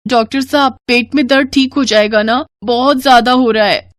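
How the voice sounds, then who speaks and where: A woman's voice: on the high side, steady tone, moderate pace, dry recording, voice pushed up, lightly acted. A woman voicing a headstrong young Indian daughter-in-law in a moral story, emphatic and pleading. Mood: pained. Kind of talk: dramatised Hindi moral story.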